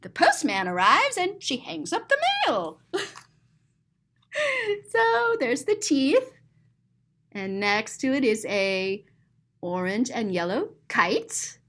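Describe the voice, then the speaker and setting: A woman reading a picture book aloud to young children in an expressive, playful way, pausing to explain things simply. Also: on the high side. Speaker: storyteller and teacher.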